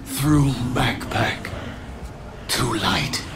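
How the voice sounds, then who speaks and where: An actor voicing a lifeless corpse in a video game, answering in halting, clipped fragments. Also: male voice, medium pitch, background noise. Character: reanimated corpse answering questions, weary and lifeless. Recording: music behind the voice.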